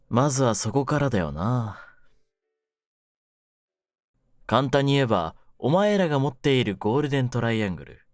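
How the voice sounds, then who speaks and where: A man performs as a young mafia captor in an ASMR-style audio drama. His delivery swings widely in pitch, slipping between rough threats and smug mock courtesy. The sound is very dry and close, with a cool, teasing menace. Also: dry recording, close to an everyday voice. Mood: playful, casual. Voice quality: low-pitched, hushed at menacing moments. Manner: rough masculine speech, smug mock politeness. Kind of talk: ASMR situation voice drama, intimate one-sided roleplay.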